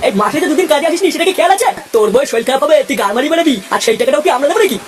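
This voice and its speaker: A man voicing a stern, contemptuous factory overseer in a comic cartoon, threatening and sneering in a pitched-up voice. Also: lively intonation, racing, background noise.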